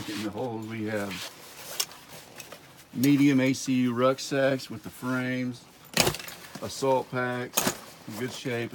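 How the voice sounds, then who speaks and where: Slow, halting man touring a warehouse. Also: medium pitch. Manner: casual, halting, repeats item names. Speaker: warehouse tour guide. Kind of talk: walkthrough listing inventory. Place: large indoor warehouse. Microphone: handheld phone camera nearby. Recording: noisy warehouse phone video.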